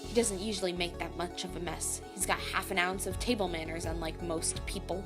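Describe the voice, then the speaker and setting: A woman playing a brash, hot-tempered chef in an audio drama, gruff and sarcastic, cursing mildly in food words. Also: on the low side, steady tone, brisk, lightly acted. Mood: exasperated. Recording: music behind the voice.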